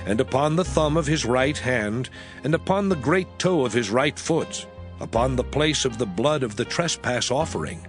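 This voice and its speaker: A male narrator reads scripture, and his voice moves through a lively pitch range. Faint music sits underneath. The delivery stays measured.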